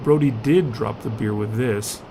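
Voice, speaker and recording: A man's voice: lowish voice, a little slow, vocal fry, warm tone, faint music behind. A man narrating scripted fiction as a storyteller.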